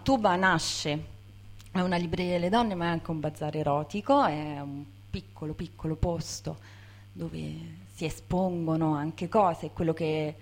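Lowish-voiced woman, reflective and searching. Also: darkish tone. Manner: hesitant, reflective, repeating words as she searches. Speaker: bookseller, co-founder of a women's bookshop. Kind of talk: informal talk to an audience.

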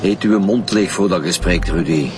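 A man playing a measured, fatherly lead detective with a Flemish accent. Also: low voice, distant mic, close to an everyday voice. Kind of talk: radio drama acting. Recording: music under dialogue.

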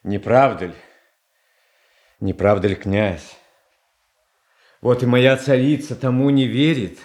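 An actor playing a gentle, trusting, artless tsar in a verse radio play. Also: male voice, mid-range voice, slow pace, natural voice. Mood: hopeful, coaxing.

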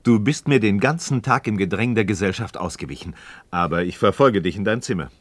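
An actor playing an eager, doubting but persistent friend and pupil in a radio play, questioning intently in literary stage diction. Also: male voice, on the low side, a little fast, no echo, lightly acted. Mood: curious, insistent.

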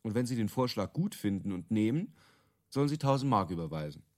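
A cynical male inventor explaining his trade in a radio drama interview. His voice is fairly low and swings animatedly. He is wry and sure of himself, in a conversational way. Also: big pitch swings, brisk, a little mellow. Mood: amused storytelling. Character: cynical, self-assured male inventor of useless product features being interviewed.

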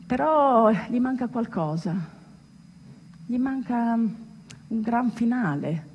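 A woman acting out an excitable, rough-mouthed old sailor in a stage monologue, with emphatic, drawn-out exclamations. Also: a little high, slight background noise, voice pushed up. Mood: musing. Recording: echoey reverberant hall, music underneath.

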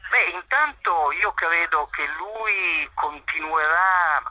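Bright-voiced Italian man, measured and hesitant. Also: a little high, lively intonation, no echo, rough recording. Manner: measured, hesitant with frequent fillers. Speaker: journalist being interviewed. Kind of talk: thoughtful interview answers.

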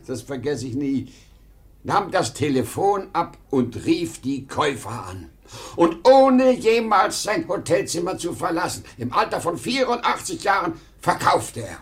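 A man's voice: fairly high pitch, big pitch swings. Desperate aging salesman, sweeping intonation. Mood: nostalgic, fervent. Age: older. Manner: emotional, halting when pleading, repeating himself. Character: desperate aging travelling salesman, proud, nostalgic, pleading. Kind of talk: 1950s German radio play acting.

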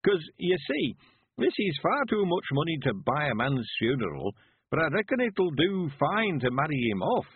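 High swooping voice, cheery ninety-year-old countryman, West Country accent, husky, folksy emphatic delivery, storybook character. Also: sing-song, dry recording, phone-quality sound, full character voice. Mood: crafty, proud. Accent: rural English West Country. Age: 90s. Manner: folksy dialect, emphatic and drawn-out. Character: sprightly, cheerful 91-year-old rustic man. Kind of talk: audiobook character voice.

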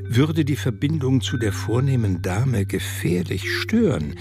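A man reading scripted literary narration for a radio drama in a measured, reflective way. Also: mid-range voice, lively intonation. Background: music under the voice.

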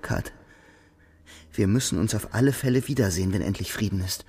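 An actor playing a young German soldier, loyal, anguished and weary of war, in a radio drama. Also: male voice, lowish voice, a little fast, darkish tone, slightly rough. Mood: pleading. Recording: music behind the voice.

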